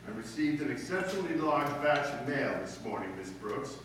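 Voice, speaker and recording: A man's voice: medium pitch, sing-song, fairly quick, a bit bright. Man playing a blustering, irascible principal in echoey room. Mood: angry, bossy. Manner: barking, imperious commands. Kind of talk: old-time radio comedy acting. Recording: roomy, distant, noisy amateur stage recording.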